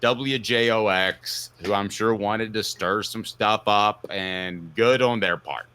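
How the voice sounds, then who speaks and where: A man hosting a sports talk show, giving opinionated commentary in an emphatic way, with punchy declarations and drawn-out stresses. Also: lowish voice, bright, forceful tone, smooth.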